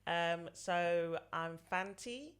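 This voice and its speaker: A woman's voice: fairly low pitch, very slow. Woman in her thirties, rambling interview answers, repeats herself. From London, England. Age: 30s. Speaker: podcast guest.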